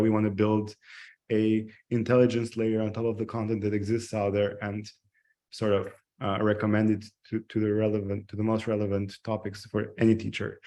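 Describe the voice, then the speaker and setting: A man's voice: fairly low pitch, warm tone. A man from Albania introducing himself on a panel, earnest and sometimes searching for words. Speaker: panelist, startup founder.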